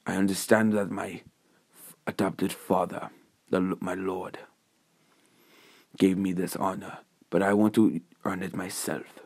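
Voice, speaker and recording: A man's voice: sits low, level tone, a little slow, pauses often, a little mellow, slightly rough, dry recording, heavily acted. A man voicing a proud, honor-bound young male warrior in a story, solemn, earnest and subdued.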